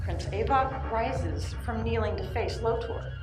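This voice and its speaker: A woman's voice: on the high side, animated, a little slow, a little mellow. A woman reading scripted narration for a radio play. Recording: echoey live room, faint music.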